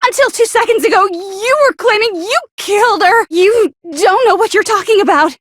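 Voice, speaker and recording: A woman voicing a paranoid, bitter and insecure teenage girl in an anime video game, stammering, defensive and sharp-tongued. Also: extremely high pitch, normal speed, no echo, clean studio sound. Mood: panicked, furious.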